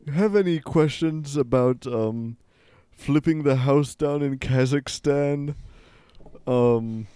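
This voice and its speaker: Deadpan male comic, slow unhurried delivery, dry close sound. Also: mid-range voice, clean tone, no room sound. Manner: deadpan, mock-serious. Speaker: comedy musician guest. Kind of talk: absurd comedic banter.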